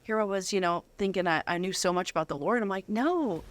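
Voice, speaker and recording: A woman's voice: medium pitch, quick pace, no echo. A middle-aged woman hosting a conversational faith interview in an effusive, affirming manner. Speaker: interview host.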